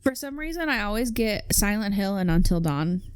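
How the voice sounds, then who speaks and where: A woman's voice: mid-range voice, expressive, normal speed, clean tone, dry recording, clean studio sound. A woman co-hosting in casual banter, speaking informally and a little self-deprecatingly. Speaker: podcast co-host.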